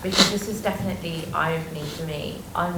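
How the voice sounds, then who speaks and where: A woman's voice: deep voice, steady tone, fast talker. A woman from Bangladesh asking a tentative audience question, often restarting her sentences. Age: adult. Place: indoor public exhibition discussion. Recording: echoey, noisy, distant from mic.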